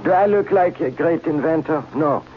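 An actor playing a timid, phony count and inventor, talking in a halting, overly formal way in a radio drama. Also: male voice, on the high side, slight background noise, natural voice. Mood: pleading. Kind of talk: 1940s radio acting. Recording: muffled old radio recording with music.

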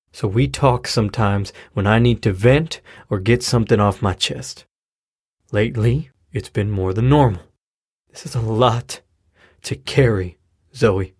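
A man acting a weary, exasperated middle-aged father in an audio drama, halting and earnest as he pleads to be understood. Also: on the low side, husky. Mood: earnest, pleading.

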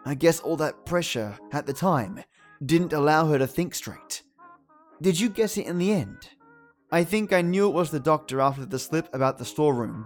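A man hosting a podcast, giving chatty, matey commentary to his listeners. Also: a little high. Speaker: podcast host.

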